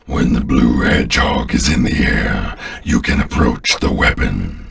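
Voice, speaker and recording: Abyssally deep, gravelly alien overlord. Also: male voice, fairly bright tone, far from the mic, heavily acted. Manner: slow, grandiose, imperious. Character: ancient alien overlord villain, commanding, contemptuous, menacing. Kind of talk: theatrical video game villain acting.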